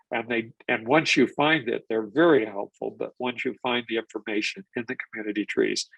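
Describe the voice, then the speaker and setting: A man's voice: lowish voice, vocal fry, a bit bright, breathy edge, no room sound, low-quality recording. An older man presenting a genealogy webinar, stuffed up from a cold, rambling with restarts and fillers. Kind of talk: live webinar lecture.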